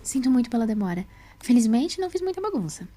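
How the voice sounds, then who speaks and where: A woman voicing a quirky, energetic female time traveller in an audio story, talking in a quick, rambling way. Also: fairly high pitch, pitched above the performer's own voice. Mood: apologetic, bright. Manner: quick, rambling, self-reassuring. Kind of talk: audio drama fan story.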